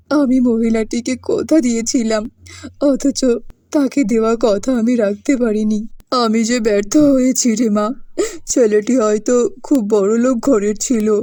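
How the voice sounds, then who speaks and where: Woman playing a mellow, earnest cartoon father. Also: a little high, no echo, studio quality, pitched below the performer's own voice. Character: earnest, worried father of four young daughters.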